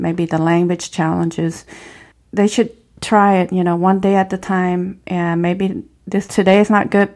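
Woman, middle-aged, Cambodian accent, slightly low voice, earnest and encouraging, reflective interview talk. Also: darkish tone. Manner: earnest, encouraging, with simple non-native grammar. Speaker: former refugee and speaker.

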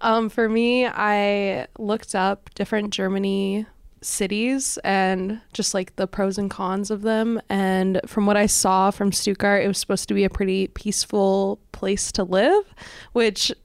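A woman's voice: medium pitch, flat delivery, unhurried, clean tone, no room sound, clean studio sound. A young woman with a Californian American accent, chatting casually as a student guest on a podcast. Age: young adult. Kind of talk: casual chat.

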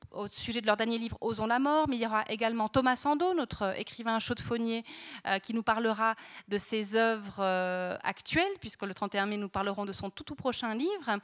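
A woman's voice: on the high side, a bit bright. A woman hosting an event, making semi-formal announcements and sometimes searching for her words. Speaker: event host introducing a debate.

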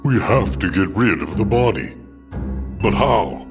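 A man voicing a big, dim barbarian fast-food worker with a slow, blunt, casual delivery in a comic radio drama. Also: fairly low pitch, animated. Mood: worried. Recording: music bed behind the voice.

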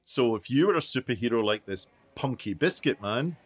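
An actor playing a brisk male documentary maker who puts questions to people in a radio play. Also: medium pitch, expressive, lightly acted. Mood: inquisitive. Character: self-proclaimed master documentary maker, brisk male interviewer. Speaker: documentary maker interviewing migrants.

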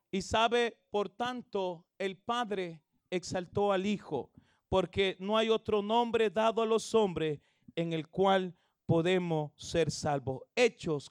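A man, preaching an evangelistic sermon and leading the congregation in reading, with phrasing that swells into shouts. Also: high voice, smooth, dry recording, studio quality. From El Salvador. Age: 30s. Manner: calls everyone "hermano", drawn-out emphatic phrasing. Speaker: pastor.

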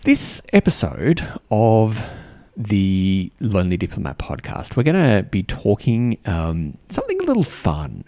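A middle-aged man from Australia with a mild accent speaks low and unhurried, his voice gravelly with fry. He talks to his listeners in a rambling, reflective way, filling his pauses with ums and uhs. The recording is dry and close, with no room sound. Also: wildly swooping pitch, dark tone, no echo. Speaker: podcast host and diplomatic mentor. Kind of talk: casual solo podcast talk.

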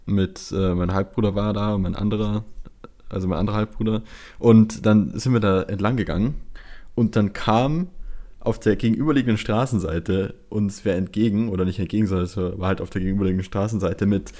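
Young dude, lowish voice, casual storytelling, slangy and sweary. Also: male voice, steady tone, fairly quick, mellow. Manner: colloquial, swearing, mixing in English slang. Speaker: podcast co-host. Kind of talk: casual chat, anecdotes.

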